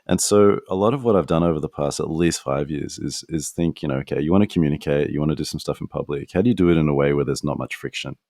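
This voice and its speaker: Male podcast host, very deep voice, dry sound, reflective and self-critical. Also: a little mellow, no echo. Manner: reflective, self-critical, quick asides and restarts. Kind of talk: conversational interview answers. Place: apartment in New York.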